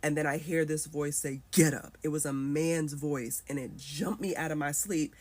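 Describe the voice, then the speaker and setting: A woman's voice: deep voice, steady tone, normal speed, a little mellow. A woman in her thirties retelling a personal story in a casual, emotional way, acting out the voices she quotes.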